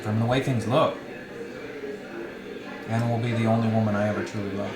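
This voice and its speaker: A man's voice: on the low side, steady tone, a little fast, natural voice. A man playing a sickly, wryly blunt and giggly tipsy man in a dramatized novel reading, with a theatrical delivery. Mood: wistful sorrow. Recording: roomy echo, loud music behind.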